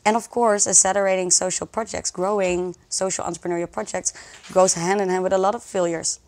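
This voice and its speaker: A woman's voice: mid-range voice, steady tone, brisk, a little mellow. A woman speaking as a guest in a conversational interview, explaining things with enthusiasm. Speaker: interview guest, social project community organizer.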